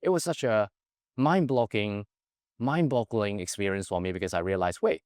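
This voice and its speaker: Low guy, earnest life coach vibe, keeps saying right?, dead dry sound. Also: moderate pace, darkish tone, dry recording. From Hong Kong. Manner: earnest, keeps adding "right?" tags to check in with the listener. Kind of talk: reflective personal storytelling.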